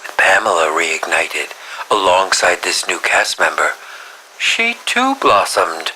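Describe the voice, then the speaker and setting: An actor voicing a melodramatic, sinister film director villain in a video game, drawing out his lines and dropping into breathy whispers. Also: deep voice, very animated, pushed and bright, slight background noise, heavily acted, poor audio. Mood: gleeful menace. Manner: melodramatic, drawn-out delivery with breathy whispered drops. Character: theatrical, sinister mad male film director villain, grieving and menacing. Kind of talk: scripted game villain monologue.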